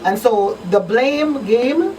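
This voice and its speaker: A woman's voice: a little high, lively intonation, takes it slowly, dark tone. A woman hosting a live radio discussion, speaking conversationally with emphatic repetition and frequent check-ins with the listener. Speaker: radio talk show host. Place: radio talk show broadcast. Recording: distant mic with faint noise.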